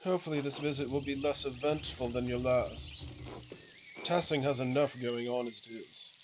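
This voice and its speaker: Kindly peacemaking village priest, video game, man reading, medium pitch, clear background noise. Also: steady tone, normal speed, close to an everyday voice. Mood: welcoming. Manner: kindly, conciliatory. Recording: clear sizzling ambient noise behind voice.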